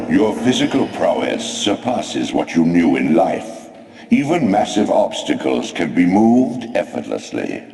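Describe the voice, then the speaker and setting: An actor playing an ancient godlike spirit in a video game, solemn, commanding and oracular, with theatrical delivery. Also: male voice, low-pitched, big pitch swings, measured pace, vocal fry, warm tone, soft background music, full character voice. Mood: warning. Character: ancient, ominous godlike spirit guiding an undead protégé. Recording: cavernous reverb, noisy game audio.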